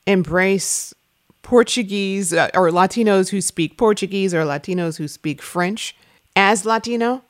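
Brief: woman interviewer, medium pitch, curious and probing, dry studio mic. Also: a little slow, no echo. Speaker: radio host. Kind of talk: radio interview.

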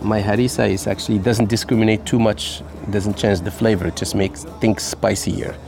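Energetic Moroccan man, low-pitched, music behind. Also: moderate pace, vocal fry. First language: Arabic. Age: 50s. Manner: energetic, joking, with playful asides. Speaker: chef and restaurant host being interviewed. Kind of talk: casual storytelling while serving food.

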